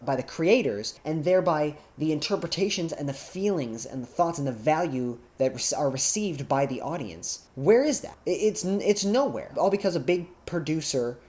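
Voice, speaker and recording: A woman with a low voice speaks straight to her viewers as a video creator. Her delivery is conversational but earnest, leaning on key words to drive an opinion home. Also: expressive, moderate pace, hardly any pauses, darkish tone.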